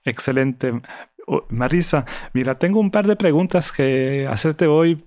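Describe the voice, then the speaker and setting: A man's voice: fairly high pitch, normal speed, darkish tone, dry recording, studio quality. A man hosting an interview, asking questions with fillers and self-corrections. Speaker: interview host.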